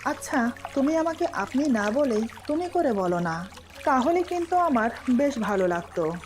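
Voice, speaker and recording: Devoted young husband read by a female narrator, romantic audio story, affectionate, coaxing, high register, light water noise behind. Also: big pitch swings, moderate pace. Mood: tender. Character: devoted, teasing young husband in love with his wife.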